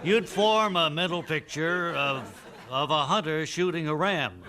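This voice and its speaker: An actor playing a self-assured but evasive memory expert in a deadpan radio comedy interview, earnest and halting. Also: male voice, on the high side, a crowd in the background, close to an everyday voice. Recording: noisy, clicky old radio recording.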